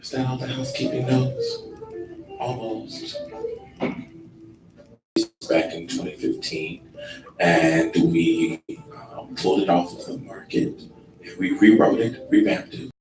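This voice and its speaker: A man giving a relaxed workshop presentation in a conference room, with a sleepy, laid-back, unhurried delivery full of ums. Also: deep voice, over music. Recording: echoey room from far off with noise.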